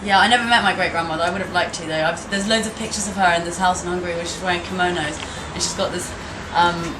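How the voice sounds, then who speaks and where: A young woman from Turkey chats loosely and haltingly, full of ums and restarts, her voice pitched a little low. She sounds set back from the mic in an echoing room, with music playing clearly behind her. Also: steady tone, recorded from a distance. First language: Turkish. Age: 20s. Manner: rambling, hesitant, many fillers. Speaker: gallery owner. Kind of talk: casual interview talk.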